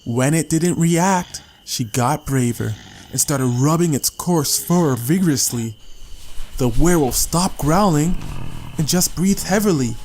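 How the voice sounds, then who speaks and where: A man's voice: fairly high pitch, unhurried, darkish tone, a little hiss or hum. A man narrating a story as a scripted, dramatic audiobook reading.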